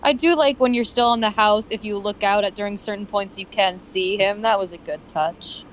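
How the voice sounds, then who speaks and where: A woman's voice: mid-range voice, moderate pace, slight background noise, rough recording. A young adult woman talking casually about games as a co-host. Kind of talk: casual gaming chat.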